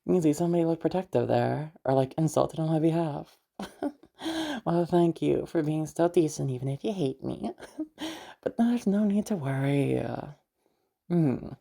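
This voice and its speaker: A woman playing a protective, easygoing big sister in an intimate audio roleplay, speaking in a halting, reassuring way with sighs and casual swearing. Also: low-pitched, expressive, creaky, dark tone, exaggerated voice. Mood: teasing, reassuring. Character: protective, mysterious, easygoing big sister escorting a new acquaintance.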